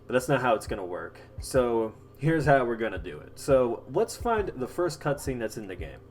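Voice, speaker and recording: A man hosting a casual software tutorial, explaining step by step in an informal, halting way. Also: medium pitch, big pitch swings, slight background noise. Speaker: tutorial host.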